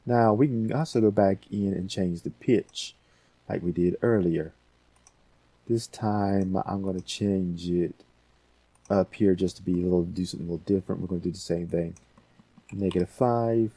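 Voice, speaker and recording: A man's voice: deep voice, steady tone, moderate pace, mellow, husky, no echo. A man hosting a casual audio editing tutorial, thinking aloud in a folksy, rambling way.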